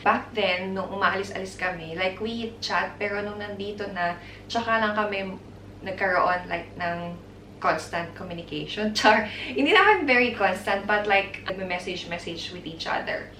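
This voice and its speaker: A woman's voice: mid-range voice, normal speed, bright, forceful tone, slight background noise. A woman in her twenties with a Filipino accent, chatting casually to camera in a Q&A vlog, mixing Tagalog and English with giggly asides. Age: 20s. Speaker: vlogger. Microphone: camera mic. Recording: roomy sound, mic at a distance.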